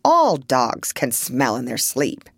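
A woman's voice: a bass voice, huge pitch swings, slow pace, vocal fry, slightly rough, no echo, studio quality, voice pushed down, exaggerated voice. A woman voicing a watchful, worried female duck in a storybook reading. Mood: wary.

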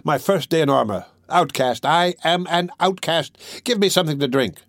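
A man's voice: a little high, lively intonation, normal speed, a bit bright, no echo, studio quality, voice pushed up. A man voicing a brash, boastful, drunkenly jovial young male vagabond in armor, with a swaggering, declamatory manner, in a storybook reading. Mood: exultant. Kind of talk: storybook character voice in an audiobook.

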